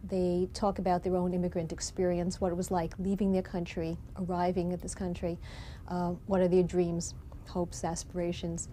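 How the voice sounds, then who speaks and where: A woman teacher reflecting in an interview on her class project, pausing with fillers. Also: on the low side, completely flat delivery, warm tone, a little hiss or hum.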